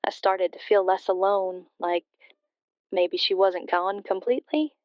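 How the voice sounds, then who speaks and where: A woman's voice: mid-range voice, smooth, dry recording, highs cut off, close to an everyday voice. An actress playing a bereaved daughter who hesitantly and sincerely confides her story as a caller to a radio show in an audio drama. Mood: wistful, wondering. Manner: hesitant, halting, reflective. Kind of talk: scripted audio drama, emotional personal storytelling.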